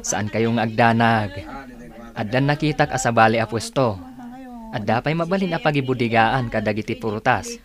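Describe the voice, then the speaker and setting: A man's voice: mid-range voice, normal speed, close to an everyday voice. Actor as practical friendly trader man, medium voice, music behind, radio drama. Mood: reassuring. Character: helpful, practical male friend, fruit trader. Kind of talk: Ilocano radio drama acting.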